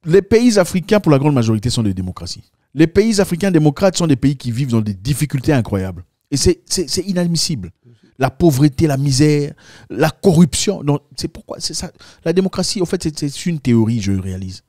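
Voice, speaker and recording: A man's voice: a little high, big pitch swings, a little fast, darkish tone, no room sound, studio quality. A man hosting an opinionated political discussion, speaking emphatically and repeating phrases for effect.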